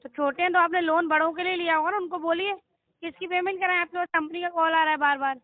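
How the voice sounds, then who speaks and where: An Indian woman works through a debt collection call in a high, fast-moving voice. Her questioning is insistent and confrontational, like an agent who wants a straight answer. The sound is a narrow, tinny phone recording. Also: fairly even intonation, no room sound. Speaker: loan recovery call centre agent. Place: on a phone line.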